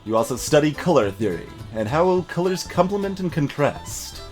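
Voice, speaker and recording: Lively, higher-voiced man streaming a game. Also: normal speed. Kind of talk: live game stream, chatting and reading game text aloud. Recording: music behind the voice. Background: game music.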